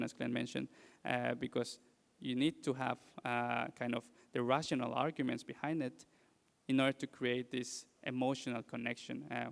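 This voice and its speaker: A man's voice: medium pitch, vocal fry. A man from Mexico, a native Spanish speaker with a Mexican accent, presents to an audience as a conference speaker in a hesitant, conversational way, posing rhetorical questions. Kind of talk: public presentation.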